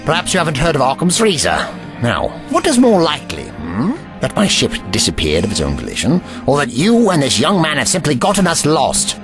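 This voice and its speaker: Male actor as haughty old gentleman, fussy, cantankerous, hugely animated intonation, audio drama, music underneath. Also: fairly high pitch, fairly quick. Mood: irritated. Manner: haughty, fussy, tutting with "hmm" and "eh". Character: pompous, cantankerous old gentleman time traveller.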